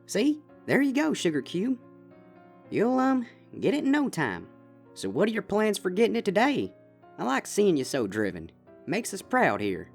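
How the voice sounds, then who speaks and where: Male reader playing a drawling Southern farm gal, big-sister type, lively pitch, soft music under. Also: normal speed, creaky, exaggerated voice. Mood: coaxing. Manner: folksy drawl. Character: kind but stern young farm mare, big sister.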